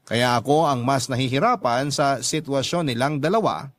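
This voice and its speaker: A man reading first-person scripted radio drama narration, earnest and confiding, with hesitant pauses. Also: medium pitch, lightly acted.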